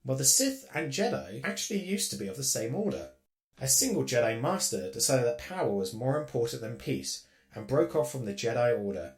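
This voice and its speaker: A courteous, dutiful droid, acted by a man from a sci-fi roleplay series. The speech is formal and precise, with lively swoops in pitch and a noticeable creak. It defers politely to its masters. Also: fairly quick. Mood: informative, precise. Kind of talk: Minecraft roleplay web series voice acting.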